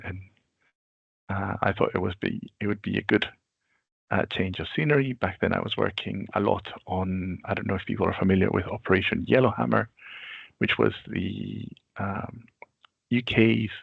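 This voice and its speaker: Man in his forties, a deep voice with heavy fry, husky, calm and reflective as a webinar guest, dull lo-fi call audio. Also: no echo. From Venezuela. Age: 40s. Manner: measured, reflective storytelling. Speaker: guest expert. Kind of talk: webinar interview answer. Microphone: video call.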